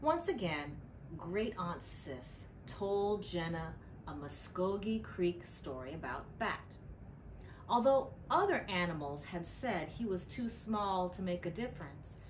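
Middle-aged woman, expressive children's read-aloud, lowish pitch, unhurried delivery, noisy indoor room recording. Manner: deliberate, storytelling emphasis. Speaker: children's librarian reading a picture book. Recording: clearly noisy room ambience.

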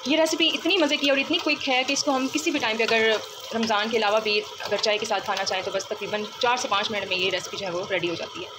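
A woman's voice: fairly high pitch, fairly even intonation, quick pace, hardly any pauses. Chatty woman in a noisy kitchen. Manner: chatty, step-by-step, leans on the filler "jo hai". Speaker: cooking vlogger. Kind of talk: casual cooking demonstration. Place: home kitchen, indoors. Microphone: phone held over the stove. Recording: noisy phone recording in a kitchen. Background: loud kitchen noise, frying and running water.

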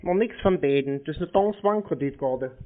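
A man's voice: a little high, expressive, normal speed. A man chatting casually on the phone as a naive, rambling, colloquial customer. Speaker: prankster playing a gullible customer to phone scammers. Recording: narrow, tinny phone-call sound.

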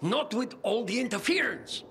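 A frantic older bomb maker in a video game. His gravelly voice swings widely in pitch, and he stumbles absent-mindedly for words over clear background ambience. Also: sing-song, a bit bright, slight background noise, exaggerated voice. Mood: distracted. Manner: halting, flustered, searching for words.

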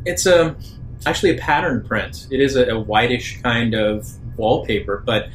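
A man working as game master, narrating a roleplaying game haltingly in short phrases with many pauses. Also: mid-range voice, animated, a little hiss or hum.

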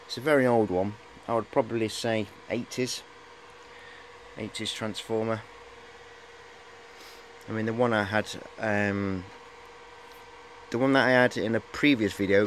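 A man in his forties with a fairly low voice gives a casual, rambling show-and-tell. He is matey and hesitates often as he goes. It is recorded on a handheld camera indoors, with some noise behind him. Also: poor audio. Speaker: hobbyist showing high-voltage equipment. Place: cluttered indoor workshop. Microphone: camera at arm's length.

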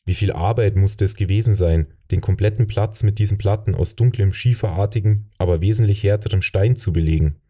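A man's voice: on the low side, a little fast, hardly any pauses, mellow. A man reading a fantasy novel as an audiobook narrator, in a scripted first-person storytelling style.

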